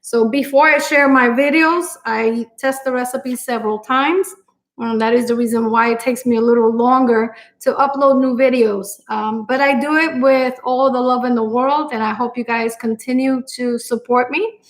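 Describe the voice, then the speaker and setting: A middle-aged woman from Puerto Rico with a strong Puerto Rican accent, chatting live with her viewers and thanking them, with many pauses and fillers. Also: a little high, fairly even intonation. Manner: warm-hearted, grateful, frequent fillers and pauses. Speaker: cooking channel host. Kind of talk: live stream chat with viewers.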